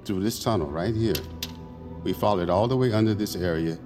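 An actor playing a cool, secretive imaginary companion who plans an escape, calm and deliberate, in an audio drama. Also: male voice, fairly low pitch, over music, lightly acted. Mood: deliberate, cautious. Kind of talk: audio fiction acting.

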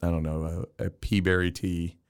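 Gravelly low-voiced Texan man. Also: flat delivery, normal speed, no echo, clean studio sound. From Texas. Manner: enthusiastic, chatty storyteller with frequent laughs. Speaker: podcast guest. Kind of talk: casual chat.

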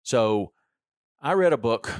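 A man's voice: medium pitch, animated, slow pace, clean tone, no echo, clean studio sound. A man in his fifties hosting a podcast, talking casually on his own with frequent ums and restarts. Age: 50s. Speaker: podcast host. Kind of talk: casual solo podcast monologue.

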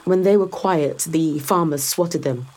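Husky, assured woman in sci-fi audio drama. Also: sits low, a little slow, darkish tone. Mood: proud, informative. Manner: assured, explanatory, dryly teasing. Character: confident, sardonic woman guiding a skeptical visitor. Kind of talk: full-cast science fiction audio drama acting.

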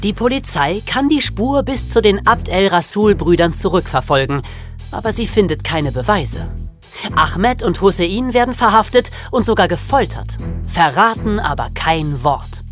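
A man's voice: high voice, animated, normal speed, fairly bright tone. A young man co-hosting a podcast, telling scripted stories dramatically for young listeners. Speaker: podcast co-host. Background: music.

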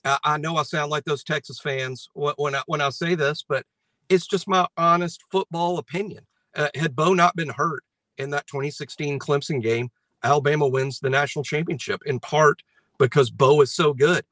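A man discussing his football rankings on a sports talk show in a measured, analytical way, often restarting his sentences. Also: on the high side, steady tone, a bit bright, no echo.

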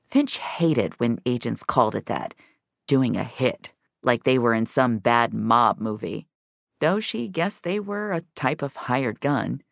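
A woman's voice: extremely low pitch, expressive, unhurried, vocal fry, no room sound, clean studio sound. A woman narrating scripted science-fiction drama with a wry, noir storytelling manner.

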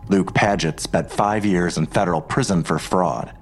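An actor playing a menacing, suspicious police detective in an audio drama, pressing with pointed, accusatory questions. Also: male voice, sits low, moderate pace, faint music behind. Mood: cold, probing.

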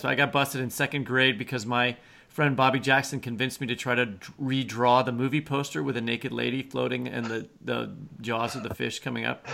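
A man's voice: mid-range voice, gravelly, sharp tone. A middle-aged man co-hosting a podcast in a casual, chatty style. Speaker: podcast co-host. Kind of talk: casual chat.

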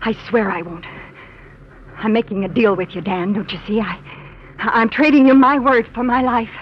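A woman's voice: a little high, fairly even intonation, moderate pace, natural voice. An actress playing a young woman in a 1940s radio crime drama, with an earnest, theatrical delivery. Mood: pleading, frightened. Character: young woman, frightened but defiant, caught with killer. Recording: muffled old radio recording, faint noise.

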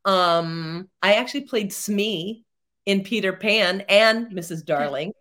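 A middle-aged woman, a theatre performer, chatting as a podcast guest in a rambling, animated way with many fillers and laughs. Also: mid-range voice, takes it slowly. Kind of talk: casual interview chat.

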